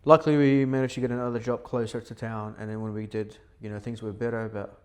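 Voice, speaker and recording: A young man from Syria talks through his past on a podcast, relaxed and conversational. His voice sits at an average pitch, and he fills pauses with easy "um"s and "you know"s. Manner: relaxed, storytelling, frequent fillers. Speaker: podcast guest. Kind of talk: casual podcast interview. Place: indoor podcast setup.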